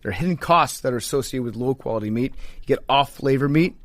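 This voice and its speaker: A man with a medium-pitched voice talks as a podcast co-host. His delivery is casual and mock-serious, moving between banter and sponsor reads, and the sound is dry and close. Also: fast talker, no echo.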